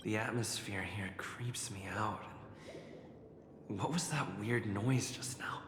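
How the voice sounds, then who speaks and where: An actor playing a wary, puzzled young male traveller, hushed and wondering, in a video game. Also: fairly low pitch, takes it slowly, background noise. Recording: echoey hall reverb, music behind.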